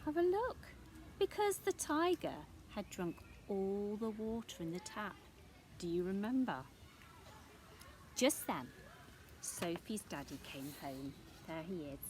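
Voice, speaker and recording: Woman, children's picture-book read-aloud, swooping expressive pitch, chatty questions to listeners, clear noise on recording. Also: slow pace. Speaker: storyteller reading a picture book.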